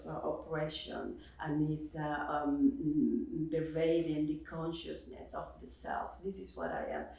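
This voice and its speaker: A woman's voice: low-pitched, darkish tone. A Cuban-born woman speaking as a professor on an academic panel, hesitant with many fillers and restarts. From Cuba. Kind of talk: spontaneous academic panel remarks. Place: seminar room. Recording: roomy echo, distant mic.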